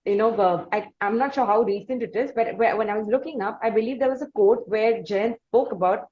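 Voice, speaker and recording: A woman with an Indian accent asking conversational interview questions in halting, roundabout phrasing. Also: a little high, highs cut off.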